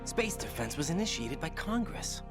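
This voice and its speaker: An actor playing an earnest young military operative in a video game, terse, questioning and incredulous. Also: male voice, fairly high pitch, big pitch swings, moderate pace, music in the background. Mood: disbelieving. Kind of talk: dramatic video game cutscene acting.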